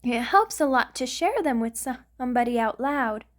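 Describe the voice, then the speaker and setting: A woman's voice: on the high side, animated, normal speed, lightly acted. A woman voicing a centuries-old vampire lover in an intimate ASMR roleplay, doting and anxious, with a hesitant, sighing delivery. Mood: fond, reminiscing. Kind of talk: ASMR romantic roleplay monologue.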